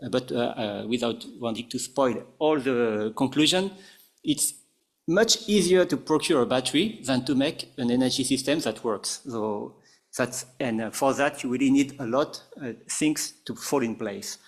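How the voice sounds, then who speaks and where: A man with a French accent presenting at a conference panel, halting often with fillers and restarts. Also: mid-range voice. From France. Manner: hesitant, many fillers and restarts.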